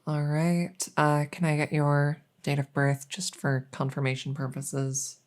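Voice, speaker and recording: A woman playing a polite, hesitant, matter-of-fact night vet in an ASMR audio roleplay, with a creaky voice. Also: low voice, level tone, a little slow, a little mellow. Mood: professional, reassuring. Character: soft-spoken, polite night vet receiving a supernatural patient.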